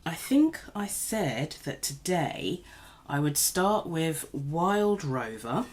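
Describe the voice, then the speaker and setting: A woman's voice: rumbling low, a little mellow, a little hiss or hum. A woman recovering from a cold, slightly nasal, chatting in a rambling, self-deprecating way while doing her makeup at home. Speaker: beauty vlogger. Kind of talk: casual makeup tutorial chat.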